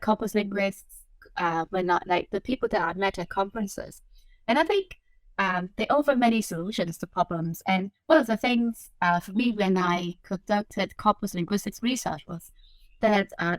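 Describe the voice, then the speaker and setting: A woman from Hong Kong talks as a podcast guest, thinking aloud and filling pauses with "like" and "um." Her recording is dry and close. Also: medium pitch, no echo, low-quality recording. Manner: reflective, frequent "like" and "um" fillers. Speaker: assistant professor, podcast guest. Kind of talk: conversational interview answers.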